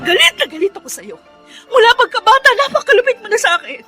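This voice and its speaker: A woman's voice: extremely high pitch, expressive, fast talker. A woman playing a bitter young orphan crying out in rage at God in a Filipino radio drama, tearful and impassioned. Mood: anguished rage. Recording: loud music under the voice.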